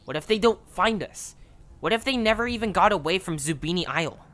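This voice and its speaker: A woman's voice: lowish voice, expressive, fairly quick, a bit bright. A woman voicing an impatient, skeptical young leader of a creature band in an amateur audio story. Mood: grudging, doubtful. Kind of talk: amateur audio drama acting.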